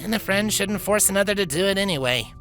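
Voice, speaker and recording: A woman's voice: medium pitch, animated, fast talker, over music, pitched above the performer's own voice, natural voice. A woman voicing a remorseful young pony ringleader with a sheepish, frank manner in a fantasy story. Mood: earnest.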